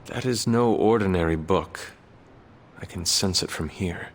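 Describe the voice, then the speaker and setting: A man's voice: deep voice, measured pace, in a large hall. A man playing a solemn, courteous ancient warrior in a video game, speaking in formal, old-fashioned phrases. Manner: formal, archaic phrasing without contractions. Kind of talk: video game cutscene acting.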